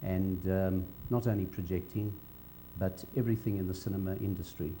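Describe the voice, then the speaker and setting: An older man with a South African accent recalls his working life in an interview, speaking in a measured, halting way. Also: fairly even intonation, warm tone. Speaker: interviewee, veteran cinema cameraman. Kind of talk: on-camera reminiscence. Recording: dull low hum, faint background noise.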